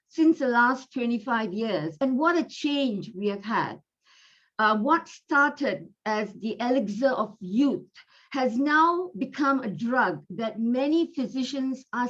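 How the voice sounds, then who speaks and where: A woman from Malaysia with a Malaysian accent, giving an online medical lecture in an unhurried, chatty way with fillers, over a video call. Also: on the high side. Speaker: guest lecturer, gynecologist. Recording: dull lo-fi audio.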